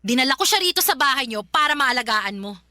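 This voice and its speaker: A woman's voice: high-pitched, expressive, quick pace, sharp tone, no room sound, studio quality, heavily acted. A woman playing a worn-out, bitter and defiant daughter in an emotional radio drama, pleading with emphatic repetition. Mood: bitter, hurt. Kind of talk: Filipino radio drama acting.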